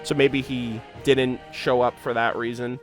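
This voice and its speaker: A man's voice: mid-range voice, little pitch movement, measured pace, soft background music. A man streaming his reaction to game lore, reading story text aloud and commenting casually in an emotive, slangy way.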